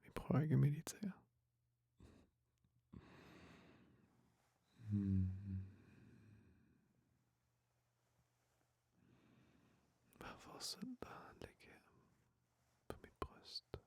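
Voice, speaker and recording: A man with a Danish accent playing a tender boyfriend in an intimate sleep-aid audio roleplay, murmuring and whispering slowly and soothingly, close to the mic. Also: medium pitch, animated, no room sound, full character voice. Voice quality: breathy whisper, husky murmur. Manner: slow, soothing, hushed, with soft hums. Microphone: very close microphone, dry.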